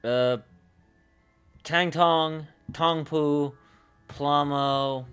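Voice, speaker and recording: A man livestreaming casually, thinking aloud in a halting way and often trailing off mid-thought. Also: fairly high pitch, fairly even intonation, a bit bright, clean tone, soft background music. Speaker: streamer.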